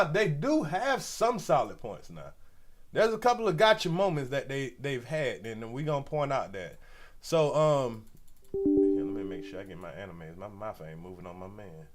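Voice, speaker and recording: Brief: forty-something male livestream host, stuffy nose, loose slangy ramble, slightly high voice. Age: 40s. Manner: loose, rambling, slangy, talks straight to viewers. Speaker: commentary channel host. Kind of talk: casual livestream commentary.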